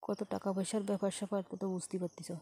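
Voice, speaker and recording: Casting: actress as persuasive, casual Bangladeshi woman in a shady trade, rapid delivery, close dry sound. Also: medium pitch, level tone, warm tone, husky, no echo, close to an everyday voice. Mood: coaxing. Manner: casual, matter-of-fact, coaxing. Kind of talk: staged phone-call audio story, conversational.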